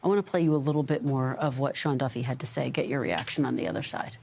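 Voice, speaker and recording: An American woman anchoring a news interview, asking measured, formal questions. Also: a bass voice, gravelly, a little mellow, a little hiss or hum.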